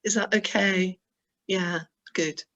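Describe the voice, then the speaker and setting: A woman hosting an online church meeting on a video call, appreciative in tone and croaky from losing her voice. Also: mid-range voice, fairly even intonation, slow pace, pushed and bright, muffled recording. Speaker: meeting host.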